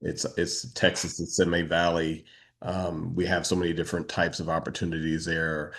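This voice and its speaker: A man hosting a business networking video call, talking casually with halting pauses between phrases. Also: deep voice, flat delivery. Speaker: meetup host and moderator. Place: online video meeting.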